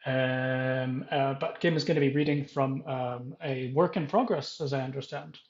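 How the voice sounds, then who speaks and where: A man moderating a panel, introducing the guests in a halting, self-correcting and apologetic way. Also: medium pitch, steady tone, reverberant, muffled recording.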